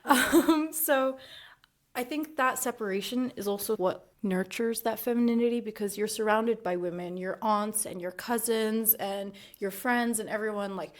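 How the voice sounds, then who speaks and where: A woman's voice: fairly high pitch, moderate pace. A young adult woman from Dubai, a guest on a podcast, talking in a casual, reflective way and laughing often. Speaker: podcast guest, school principal.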